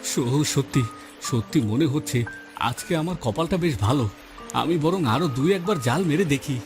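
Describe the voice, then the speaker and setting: A man's voice: a little high, normal speed, music in the background, lightly acted. A man voicing an earnest, humble poor village fisherman, a husband and father, in a cartoon story. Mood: delighted. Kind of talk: Bengali moral story cartoon dubbing.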